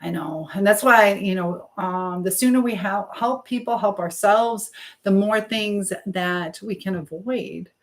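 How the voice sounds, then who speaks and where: A woman's voice: medium pitch, measured pace, reverberant. Woman chatting informally on a live stream. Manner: informal, drifting between advice and self-commentary. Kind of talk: casual chat with live viewers, reading comments aloud.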